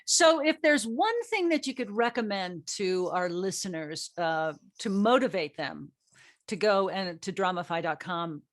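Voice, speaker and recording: A woman's voice: medium pitch, expressive, unhurried, no echo, clean studio sound. A woman hosting a casual group interview as a show's writer, joking in a playful, self-deprecating way. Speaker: host and writer of an audio drama.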